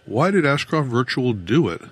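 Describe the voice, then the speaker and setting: A middle-aged male investigator performed by a man questions calmly and probingly in an audiobook. The pitch is average and the recording is dry. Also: normal speed, breathy edge, no room sound. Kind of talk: audiobook character dialogue.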